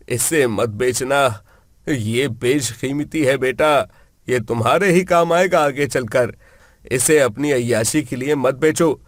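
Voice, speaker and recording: A man's voice: medium pitch, no echo, studio quality. A man voicing an old village farmer father, earnest, plaintive and heartbroken, in a storybook tale.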